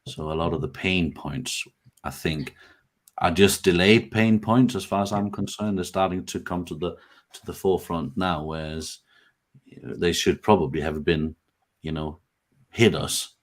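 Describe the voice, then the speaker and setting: Man, very low voice, slightly croaky, friendly, hesitant, video call host. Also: steady tone, measured pace. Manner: friendly, hesitant with many fillers. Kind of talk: live stream chat.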